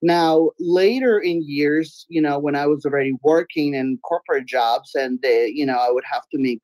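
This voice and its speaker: A woman's voice: low-pitched, normal speed, dry recording, rough recording. Giggly nasal woman. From Belarus. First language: Russian. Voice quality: a little nasal. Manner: giggly, sometimes loud, expressive. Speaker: guest artist. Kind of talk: casual interview talk. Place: home room, indoors. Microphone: webcam on a video call.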